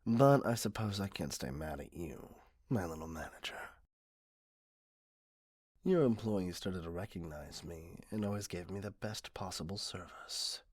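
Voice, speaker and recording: A man voicing an entitled, middle-aged, obsessive customer in an ASMR roleplay, husky, condescending and possessive, close to the microphone. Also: sits low, expressive, a little slow, no echo, full character voice. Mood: smug, doting. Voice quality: husky, often breathy. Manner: condescending, self-righteous, cloyingly possessive. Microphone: close, dry microphone.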